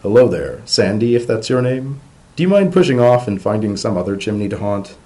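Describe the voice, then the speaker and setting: A man's voice: fairly low pitch, animated, fairly quick, mellow, lightly acted. A man playing a weary, grumpy male pony bureaucrat with a dry, exasperated manner in a storybook reading. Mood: sardonic.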